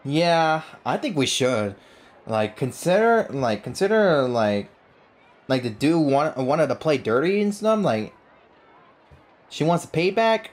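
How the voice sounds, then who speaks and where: Male let's-player, chatty and excitable, swooping pitch, slowish delivery, talking over game music. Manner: excitable, reactive, repeats himself. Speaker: gaming YouTuber. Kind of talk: casual game playthrough commentary.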